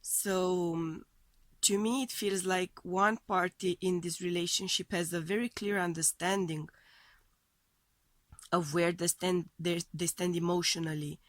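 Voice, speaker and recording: Slow, low-voiced woman with a cold. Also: fairly even intonation, no echo. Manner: tentative, searching phrasing as she reads cards. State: voice thrown off by a heavy cold. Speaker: tarot card reader. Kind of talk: weekly tarot love reading.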